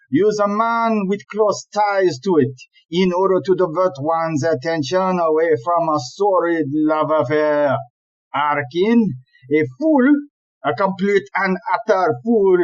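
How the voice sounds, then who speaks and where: Man playing high-pitched, exasperated police chief. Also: highs cut off. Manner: ranting, emphatic, deliberate. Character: exasperated, blustering male chief police inspector. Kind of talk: comic audio play acting.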